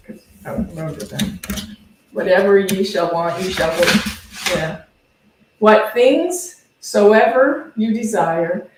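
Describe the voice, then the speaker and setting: Woman minister, unhurried and conversational spiritual talk, recorded from a distance in a very reverberant space. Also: medium pitch, slight background noise. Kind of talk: informal teaching talk to a congregation. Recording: very echoey room, distant microphone.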